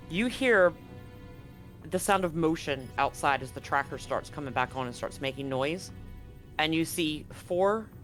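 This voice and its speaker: A man with a slightly high-pitched voice runs a tabletop roleplaying game as game master. He improvises his narration, pausing and restarting as he builds the scene. Light music plays behind him. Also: a bit bright. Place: live-streamed game session.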